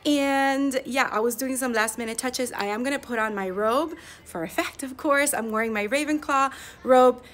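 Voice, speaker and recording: An excitable young woman talks to a propped-up camera in her classroom, chatting about her school day. Her voice sits a little on the high side, and her manner is upbeat and chatty. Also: normal speed. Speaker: fourth grade teacher and vlogger. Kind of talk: casual vlog chat. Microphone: camera propped nearby.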